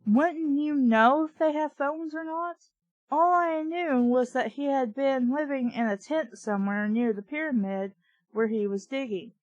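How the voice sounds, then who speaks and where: A woman's voice: sits high, no room sound, lo-fi sound. A woman reading a story aloud and breaking into casual, sarcastic, exasperated commentary. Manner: sarcastic, exasperated asides with swearing. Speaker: book reader and commentator. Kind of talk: audiobook-style reading with casual asides.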